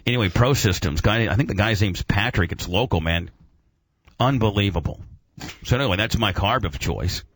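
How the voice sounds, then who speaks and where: A man's voice: on the low side, expressive, moderate pace, breathy edge, no echo. A man hosting a casual radio show about auto racing, talking in a slangy, informal and opinionated way.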